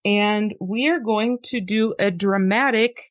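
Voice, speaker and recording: A woman's voice: mid-range voice, unhurried, no echo, narrow, tinny audio. A woman hosting a podcast in an upbeat, chatty way, laughing easily and also reading a poem aloud with drama. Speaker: podcast host.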